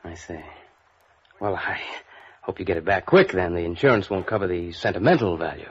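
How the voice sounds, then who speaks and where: A muffled vintage radio recording of a smooth, evasive fiancé. His voice is low and husky. He sounds chummy and probing, with an uneasy hesitance. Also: lively intonation, brisk, full character voice. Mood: affable, probing. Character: smooth, evasive male society fiancé, affable but uneasy. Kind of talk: old-time radio drama acting.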